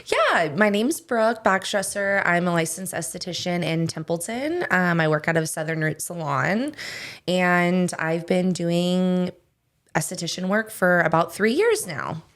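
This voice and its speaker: A woman, a guest on a studio podcast, answering in a relaxed way full of "like". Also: on the low side, unhurried. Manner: relaxed, lots of "like" fillers. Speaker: guest, esthetician. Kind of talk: casual interview answers.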